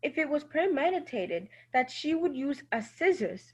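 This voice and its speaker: A woman's voice: high-pitched, sing-song, moderate pace. A woman playing an earnest, opinionated lady juror in a radio play, on a dull, muffled recording. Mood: doubtful.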